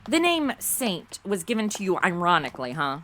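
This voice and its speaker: A woman's voice: lowish voice, very animated, normal speed, fairly bright tone. An actress playing a brash, self-absorbed, ditzy young modern woman with sarcastic, slangy delivery in an audio comedy. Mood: sarcastic, breezy. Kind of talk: comedic audio drama acting.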